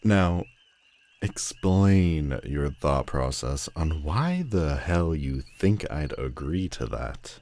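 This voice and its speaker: A man's voice: a bass voice, sing-song, slow, a little mellow, full character voice. A man playing a telepathic supervillain in a roleplay audio drama, commanding and wry yet protective, speaking intimately straight to the listener. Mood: exasperated, scornful.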